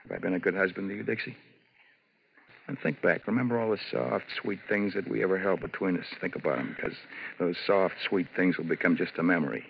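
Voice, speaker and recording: An actor in a 1950s radio drama playing a bitter, menacing husband with a taunting, deliberate delivery. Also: male voice, low voice, creaky. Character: bitter, menacing husband threatening his wife. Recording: muffled, noisy 1950s radio recording.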